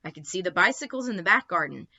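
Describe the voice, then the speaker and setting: A woman reading a children's storybook aloud in an expressive narrating style. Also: fairly low pitch, quick pace, gravelly, highs cut off.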